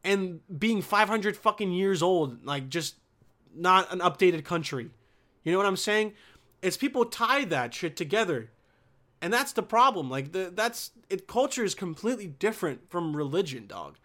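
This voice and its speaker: Slangy cursing young man, bit high. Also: very animated, normal speed, fairly bright tone. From Bosnia. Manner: profane, restarts sentences, slangy. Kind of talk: casual rambling monologue.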